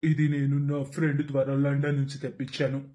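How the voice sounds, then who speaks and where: A man's voice: mid-range voice, completely flat delivery, a little fast, mellow, close to an everyday voice. A man playing a proud, showy rich husband who is bossy and contemptuous in a moral story. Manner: boastful, bossy. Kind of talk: animated moral story voice acting.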